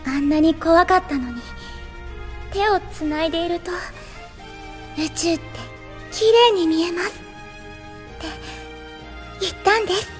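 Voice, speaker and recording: A woman's voice: very high voice, unhurried, pauses often, soft background music. A woman playing a polite, earnest, timid teenage girl space cadet in a voice drama. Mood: grateful. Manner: polite, soft-hearted, earnest. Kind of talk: Japanese voice drama acting.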